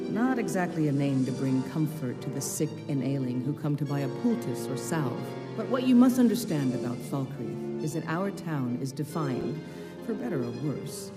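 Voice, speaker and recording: A woman voicing a candid, thoughtful shopkeeper in a fantasy video game. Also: low voice. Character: candid, thoughtful female shopkeeper in a gloomy town. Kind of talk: video game character dialogue.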